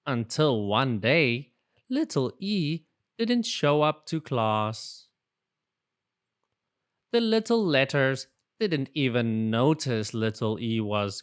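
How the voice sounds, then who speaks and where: A storybook narrator reads for young children with very lively intonation. The pace is slow and deliberate, and the voice is smooth and clear, made for expressive storytelling. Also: mid-range voice. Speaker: storybook reader. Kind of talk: animated children's read-aloud narration.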